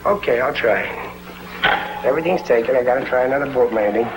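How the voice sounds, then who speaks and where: An actor playing a stubborn, easily flustered working-class husband in a 1950s sitcom, stammering and speaking ungrammatically. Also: male voice, medium pitch, fast talker, lightly acted. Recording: roomy old TV soundtrack with audience noise.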